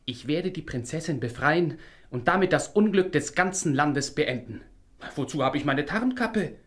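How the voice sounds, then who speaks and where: An actor playing a determined young hero in a fairy-tale radio play, thinking aloud in a hushed, resolute way. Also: male voice, a little high, expressive, moderate pace. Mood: determined, secretive. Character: determined young hero plotting a princess's rescue.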